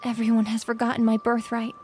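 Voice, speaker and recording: A woman's voice: fairly high pitch, completely flat delivery, fast, warm tone, faint music behind, lightly acted. A woman voicing a cold, haughty teenage crown princess, narrating formally in a visual novel. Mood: cold, disdainful. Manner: formal, disdainful. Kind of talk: scripted first-person visual novel narration.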